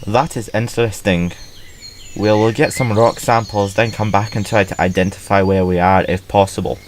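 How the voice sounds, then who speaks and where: A man playing a wary, controlling astronaut commander in a fan audio drama, gruff and bossy. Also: on the low side, steady tone, moderate pace, slight background noise, natural voice. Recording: faint insect ambience.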